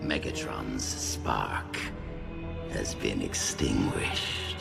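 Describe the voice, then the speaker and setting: Treacherous cartoon robot tyrant. Heavy fry, crawling ominous delivery, loud scary score behind. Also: male voice, very deep voice, exaggerated voice. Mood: ominous triumph. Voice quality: gravelly. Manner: slow, ominous, grandiose. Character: menacing treacherous giant robot villain seizing power. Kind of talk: cartoon voice acting. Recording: loud ominous music under cartoon clip.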